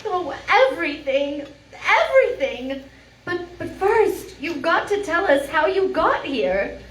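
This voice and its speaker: A woman playing a frantic, frightened heroine in a live old-time radio drama, speaking in halting, stammering, melodramatic bursts. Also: very high-pitched, sing-song, a bit bright, full character voice. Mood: distraught relief. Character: Geraldine, frightened, frantic woman trapped on an island. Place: live venue performance, picked up from a distance. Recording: distant, echoey room with faint noise.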